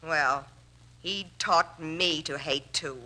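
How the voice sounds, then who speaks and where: An American actress playing a bitter, remorseless murderess in a composed, theatrical 1940s radio drama style. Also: female voice, deep voice, slow, sharp tone, heavily acted. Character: cold, bitter murderess confessing her crimes without remorse. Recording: vintage 1940s radio broadcast.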